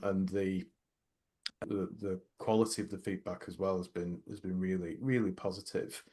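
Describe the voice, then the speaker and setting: A middle-aged man with a deep, low voice co-hosts a relaxed podcast conversation. He talks haltingly, often doubling words and restarting his sentences as he gathers his thoughts. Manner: hesitant, many repeated words and restarts. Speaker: podcast co-host. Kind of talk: casual workplace podcast chat.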